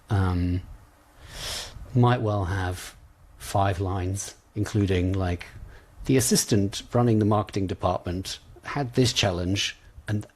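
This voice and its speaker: Low-voiced man leading an informal lesson. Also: a little mellow, husky. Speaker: coach trainer leading exam preparation session. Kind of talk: informal online lesson with practice questions.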